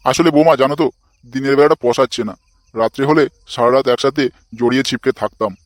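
A man's voice: mid-range voice, fast talker, dry recording, studio quality, close to an everyday voice. A man voicing a crude, bossy, lecherous old father-in-law in a comic cartoon, foul-mouthed and blustering. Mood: pleading, lecherous.

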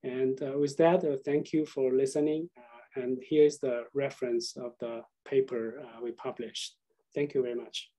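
A man presenting research as a scientist on a video call, halting and often searching for words. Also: mid-range voice, steady tone, dark tone. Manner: hesitant, repeats and searches for words.

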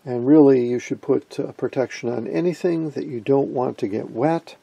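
A man giving a casual, colloquial technical explanation as host of a repair video, talking haltingly. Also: mid-range voice, gravelly, warm tone, breathy edge, dry recording, highs cut off.

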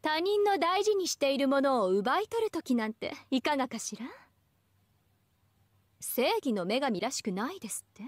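A woman's voice: high-pitched, big pitch swings, normal speed, dry recording. A woman playing a proud young goddess of justice in an anime game, with refined, teasing, ladylike speech. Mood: haughty, musing. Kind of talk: scripted anime game voice acting.

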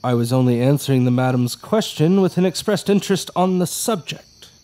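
A man's voice: animated, brisk, warm tone, lightly acted. A man playing an eerily polite servant. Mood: polite eerie. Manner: formal, courteous, with sudden high swoops. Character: overly polite odd male servant, eerily cheerful. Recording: music playing under the dialogue.

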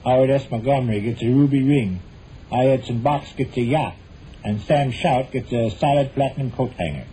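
An American actor playing a stubborn, middle-aged husband with a dry, mock-grandiose manner in a 1940s radio comedy. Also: male voice, mid-range voice, moderate pace, dark tone, lightly acted. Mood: mock-grand. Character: droll, stubborn, evasive husband in a domestic comedy. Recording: noisy old 1940s radio recording.

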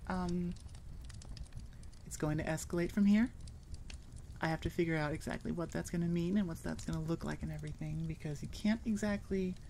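Casual woman with a lowish voice. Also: steady tone, fairly quick, pauses often, warm tone, a little hiss or hum. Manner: candid, rambling, informal. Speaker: ASMR voice actor and channel creator. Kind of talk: casual outro chat to listeners.

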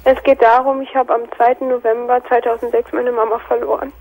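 A woman in her twenties calls in over the telephone. Her voice is a bit high and hoarse from a cold. She tells her story in a plain, matter-of-fact way. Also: level tone, fairly quick, a little mellow, a little hiss or hum, rough recording. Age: 20s. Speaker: caller.

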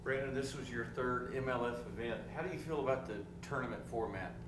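A man's voice: medium pitch, quick pace, echoey room, distant mic, background noise. Man asking questions from across a room. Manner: plain, direct questions. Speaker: press conference questioner.

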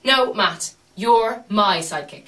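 A bright, forceful female private eye acted by a woman in a radio comedy, bossing and teasing with assertive confidence. Her pitch swings about in a lively way, and she sits a little way off the microphone. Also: slow, recorded from a distance. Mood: bossy, teasing. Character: bossy, quick-witted female private investigator. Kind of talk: comic radio drama acting. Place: room in a large private home.

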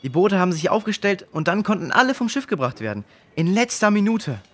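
Excitable, plain-spoken boy for children's radio play, lightly high pitch. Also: fairly quick, full character voice. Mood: excited.

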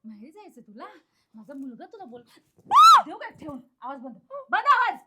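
High-voiced spiteful mother-in-law played by a woman. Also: big pitch swings, a little fast, very noisy. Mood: furious, threatening. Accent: Maharashtrian Marathi, colloquial. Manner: scolding, repeats phrases insistently. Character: spiteful, domineering mother-in-law bullying her daughter-in-law. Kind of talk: amateur family drama acting.

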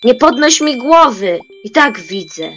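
A woman's voice: fairly high pitch, sing-song, music in the background. A young woman playing a grumpy, spiteful old grandmother in an amateur radio play, complaining and indignant. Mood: indignant protest. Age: young adult. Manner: querulous, indignant, drawn-out.

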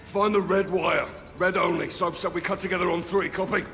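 An actor playing a gruff, commanding military captain in an action game, giving terse orders in a gravelly voice. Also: male voice, sits high, fairly even intonation, brisk. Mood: tense, focused. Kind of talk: cinematic action game acting. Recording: long hall reverb under loud music.

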